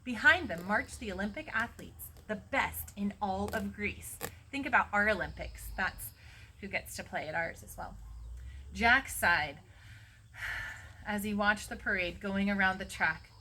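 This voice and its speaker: Bright-voiced woman reading aloud to children. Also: mid-range voice, unhurried, slight background noise. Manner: teacherly, explains and prompts listeners. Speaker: read-aloud storyteller for children. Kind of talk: expressive storybook reading with asides to young listeners.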